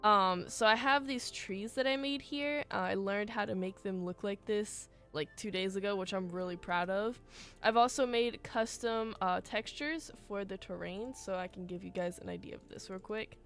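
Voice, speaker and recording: A woman livestreaming as she builds a game, talking in a chatty, rambling way with lots of fillers, her voice a little hoarse from a cold. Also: mid-range voice, normal speed, a bit bright, faint music behind. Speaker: game streamer. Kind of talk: casual livestream chat while building.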